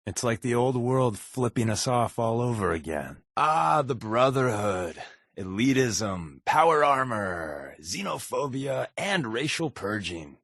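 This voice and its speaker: An actor playing a wry, sarcastic secret agent companion in a post-apocalyptic video game, with a deadpan, wisecracking manner. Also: male voice, medium pitch, measured pace, dry recording, studio quality, full character voice. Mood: sardonic.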